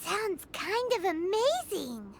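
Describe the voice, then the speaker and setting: A woman voicing a tiny, chatty, cheeky female companion creature with a squeaky childlike voice in a video game, with music behind. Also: very animated, slow pace, vocal fry, slight background noise, full character voice. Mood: curious. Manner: refers to self by name, exclamatory.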